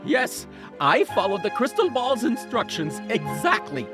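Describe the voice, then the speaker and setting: A woman's voice: mid-range voice, very animated, a little slow, over music. A woman playing a grumbling, impatient mystic sidekick in a comedic audio drama, irritable and bossy.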